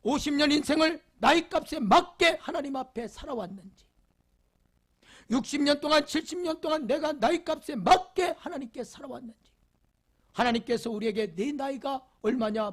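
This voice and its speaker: A male pastor preaching a Sunday sermon, leading the congregation in reading and repeating rhetorical questions, swinging from loud emphasis to near whispers. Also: extremely high pitch, lively intonation, normal speed. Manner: leads the congregation in call-and-response reading.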